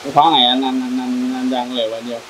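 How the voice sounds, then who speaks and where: Guy interviewing a farmer, quick short questions, noisy outdoor shed. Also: mid-range voice, little pitch movement, fairly bright tone. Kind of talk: casual on-site interview. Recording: noisy outdoor farm recording.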